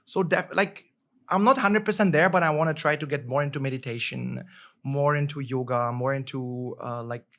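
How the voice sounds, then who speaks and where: A man in his thirties from Germany with a German accent, talking as a podcast guest in a lively, upbeat way, full of "like" and "you know". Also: medium pitch, normal speed. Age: 30s. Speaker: guest, travel vlogger. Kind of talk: casual podcast conversation.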